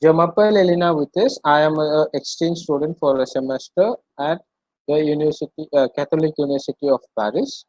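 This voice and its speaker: A man's voice: on the high side, fairly quick, darkish tone, dry recording, rough recording. Indian-accented man teaching, close dry sound. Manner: repeats phrases, checks understanding with short questions. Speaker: French language teacher. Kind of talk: interactive language lesson, translating line by line.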